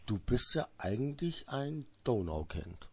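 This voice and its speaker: A man hosting a radio interview, talking casually and jumping in with quick interjections. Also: medium pitch, big pitch swings, gravelly. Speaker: radio host. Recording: dull, dry radio sound.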